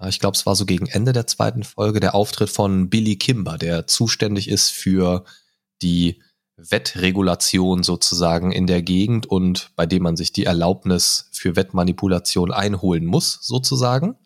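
A man's voice: low voice, steady tone, moderate pace. A man hosting a podcast, chatting casually and often adding filler words and tag questions. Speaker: podcast host. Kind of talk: casual chat.

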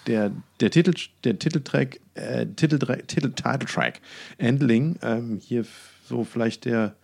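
A man with a creaky, mid-pitched voice chats as co-host of a music podcast. His delivery is casual and colloquial, with hesitant self-corrections along the way. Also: unhurried, darkish tone.

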